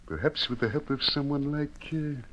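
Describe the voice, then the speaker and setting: An actor playing a grave, learned doctor in an old time radio drama, speaking formally and precisely. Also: male voice, fairly high pitch, moderate pace, a bit bright. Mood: insistent. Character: grave, learned male doctor, expert on ancient relics. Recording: hissy old radio recording.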